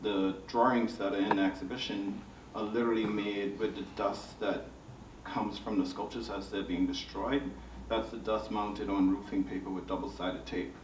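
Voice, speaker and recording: A man's voice: lowish voice, little pitch movement, normal speed. A man with a Bahamian accent speaking as an artist on a panel, telling personal stories reflectively and often checking in with "right?". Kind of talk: personal storytelling to an audience. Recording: roomy, distant mic, faint noise.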